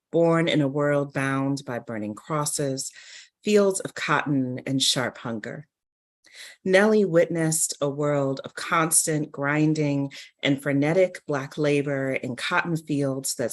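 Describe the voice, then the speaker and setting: Low-pitched woman reading slowly. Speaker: reader at a poetry reading. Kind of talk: literary reading, scripted narration and poetry.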